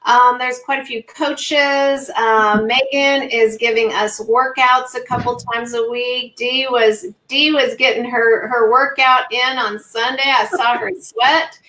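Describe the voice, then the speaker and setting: A woman co-hosting a live low-carb cooking show who is losing her voice, talking in a halting way with many fillers and throat-clearing. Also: fairly even intonation, sharp tone, echoey room, a little hiss or hum, low-quality recording. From Southern California. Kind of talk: live-streamed casual chat.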